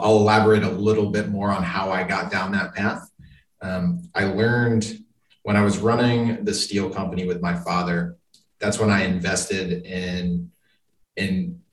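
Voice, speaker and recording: An American man from the Boston area answering interview questions in a conversational, explanatory manner. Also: sits low. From suburban Boston, United States. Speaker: interview guest, business professional. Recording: echoey room, distant microphone.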